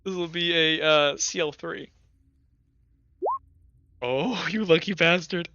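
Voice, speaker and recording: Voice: mid-range voice, slow, noisy background. A game master narrating a tabletop roleplay session, describing scenes in long, trailing sentences full of fillers. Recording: dull lo-fi recording.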